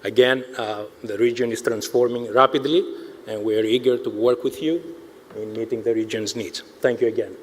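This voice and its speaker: Halting man making a formal thank-you speech. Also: medium pitch, fairly even intonation, background noise. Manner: halting, hesitant delivery full of pauses. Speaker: industry association representative giving thanks. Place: indoor awards event, addressing an audience.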